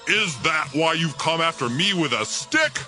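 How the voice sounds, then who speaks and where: An actor voicing a huge, boastful Philistine warrior in an audio Bible drama, taunting his foe with drawn-out menace. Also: on the high side, expressive, bright, forceful tone, soft background music, heavily acted. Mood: scornful.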